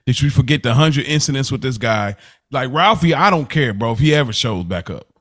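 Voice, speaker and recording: A man hosting a podcast in a casual recap style, slangy and excitable, often repeating himself for emphasis. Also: medium pitch, fairly quick, no room sound, studio quality. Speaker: podcast host.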